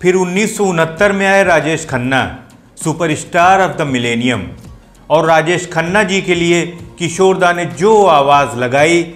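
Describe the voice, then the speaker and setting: A man's voice: on the high side, slow, fairly bright tone, faint music behind. A man hosting a talk on playback singing, explaining with enthusiasm and admiring rhetorical asides.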